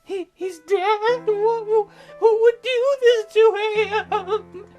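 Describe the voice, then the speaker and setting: Very high-pitched distraught young woman. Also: steady tone, slow pace, mellow, muffled recording, faint music behind. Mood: shocked, distraught. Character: breathy grieving young woman jogger, shocked and sweet.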